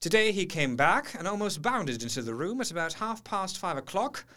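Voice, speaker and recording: A man's voice: sits high, big pitch swings, normal speed, pushed and bright, full character voice. An actor playing an educated, skeptical doctor in an audio drama, articulate and precise as he tells his diary. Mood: reflective.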